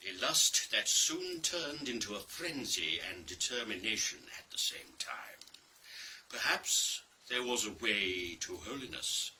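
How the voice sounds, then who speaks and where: A man reading a scripted dramatic story in a deliberate, theatrical way, with pauses and pointed emphasis. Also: lowish voice, sharp tone. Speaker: reader of a dramatic monologue. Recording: distant microphone, faint background noise.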